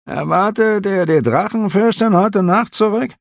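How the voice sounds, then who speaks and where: A man's voice: high voice, measured pace, gravelly, no room sound, studio quality, voice pushed up. A man voicing a nervous, prying middle-aged innkeeper who talks in a hasty, giggly, placating way.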